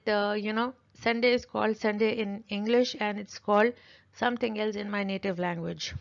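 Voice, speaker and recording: A woman who speaks Urdu natively gives an explanatory tutorial as a teacher, repeating her points with simple examples. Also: mid-range voice. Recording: dull, lo-fi audio.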